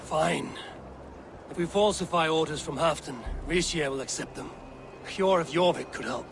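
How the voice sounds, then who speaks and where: A man's voice: fairly high pitch, normal speed, creaky, background noise. A man playing a bold, blunt, scheming Viking warrior in a video game, speaking tersely. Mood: resolute. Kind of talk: video game dramatic acting.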